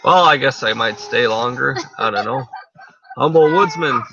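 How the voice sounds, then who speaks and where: Gravelly bilingual man chatting from a tent. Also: mid-range voice, normal speed, dull audio, noisy background. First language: French. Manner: rambling, many fillers and restarts. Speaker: channel host. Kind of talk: casual live chat with viewers. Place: inside a tent.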